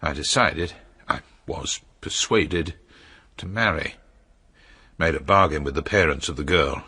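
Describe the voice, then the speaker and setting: An actor playing a cold, sardonic, jealous nobleman in a period radio drama, sneering and theatrical, with a raspy voice. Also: extremely low pitch, unhurried, sharp tone, exaggerated voice. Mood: bitter, wry. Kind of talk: period radio drama acting, narration and dialogue.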